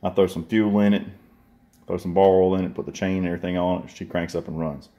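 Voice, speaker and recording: Deep-voiced man rambling through an unboxing. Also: fairly even intonation, fast talker, dark tone. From southern United States. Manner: rambling, folksy, addresses viewers as guys. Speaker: vlogger reviewing a chainsaw. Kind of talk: casual unboxing talk. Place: workshop or garage indoors, workbench. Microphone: handheld phone camera.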